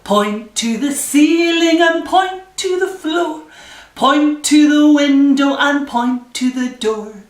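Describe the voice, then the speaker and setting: A woman's voice: sits high, takes it slowly. A woman leading a nursery rhyme singalong for small children, giving simple instructions and encouragement. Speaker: library story time leader. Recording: echoey room, distant microphone.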